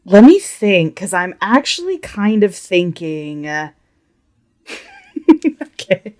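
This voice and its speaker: A woman's voice: fairly low pitch, sing-song, slow pace, darkish tone. A woman chatting casually as a player in a tabletop game, thinking aloud in a hesitant, giggly way. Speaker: player in a tabletop roleplay podcast.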